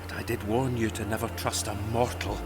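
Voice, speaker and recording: A man's voice: lowish voice, flat delivery, brisk, music in the background, close to an everyday voice. A man playing a sly, mocking god in an audio drama, drawling taunts in a long cavernous reverb.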